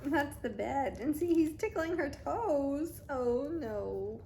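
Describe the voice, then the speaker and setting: A woman reading a picture book aloud as a mother to a toddler, in an expressive, dramatic storytime style. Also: high voice, slow pace, a little hiss or hum. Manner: dramatic, child-directed.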